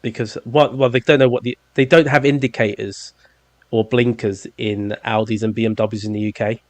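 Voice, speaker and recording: A man's voice: medium pitch, fairly even intonation, moderate pace, no room sound. A man with a London accent in his thirties, hosting a podcast in a rambling, jokey way with lots of ums. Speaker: podcast host. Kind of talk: casual chat.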